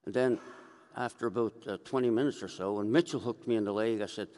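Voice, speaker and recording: A man's voice: on the low side, fast, darkish tone. An older man, a priest, giving a conversational sermon at a lectern microphone and telling stories as he preaches. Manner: conversational storytelling, gesturing as he speaks. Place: church sanctuary, indoors. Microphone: gooseneck lectern mic. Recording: reverberant church hall.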